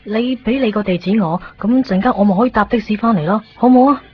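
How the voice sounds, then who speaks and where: An actress with a Hong Kong Cantonese accent playing a soft-spoken, determined young woman in a 1990s radio drama. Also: mid-range voice, quick pace, lightly acted. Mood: gentle. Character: quiet, stubborn young woman searching for someone. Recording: dull lo-fi radio broadcast with music.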